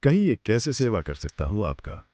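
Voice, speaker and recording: A man's voice: fairly low pitch, huge pitch swings, moderate pace, warm tone, dry recording. A man voicing a smug, sly village moneylender. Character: greedy cunning village moneylender, adult man. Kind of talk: Hindi cartoon story voice acting.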